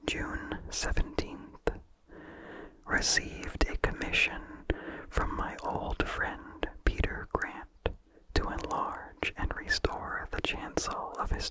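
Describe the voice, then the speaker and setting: An ASMR host reading in a breathy, unvoiced whisper, slowly and calmly, with careful enunciation. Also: low-quality recording. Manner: slow, calm, careful enunciation. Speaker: ASMR host and story reader.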